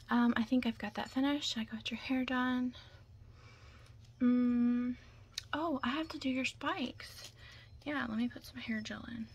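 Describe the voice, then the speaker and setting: A young woman doing an ASMR roleplay in whispers and soft talk, chatting casually and reassuringly close to the mic. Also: fairly high pitch, vocal fry, a little hiss or hum. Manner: casual, chatty, reassuring makeup artist patter.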